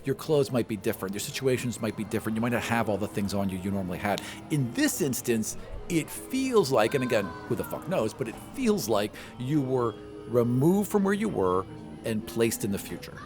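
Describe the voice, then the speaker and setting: A man's voice: mid-range voice, very animated, a little fast, music in the background. A man acting as game master, describing scenes and explaining things in a casual, conversational way. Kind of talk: narrating a tabletop game, conversational.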